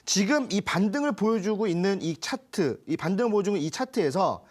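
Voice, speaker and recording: A man's voice: sits high, lively intonation, a little fast. A man working as a stock market expert, explaining charts in a colloquial broadcast talk with folksy asides.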